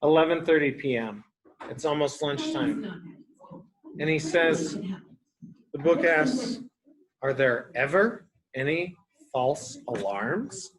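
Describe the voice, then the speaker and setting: A man's voice: a little high, sing-song, slow, long pauses, rough recording. A man reading a picture book aloud to young children as a teacher, explaining things simply and asking them questions.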